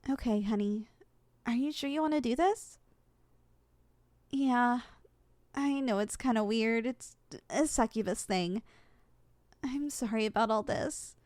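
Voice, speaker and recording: A woman playing an eager, sweet and easily flustered young succubus trainee in an ASMR roleplay, expressive and coaxing. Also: fairly high pitch, a little slow, pauses often, dry recording, natural voice. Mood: earnest, apologetic.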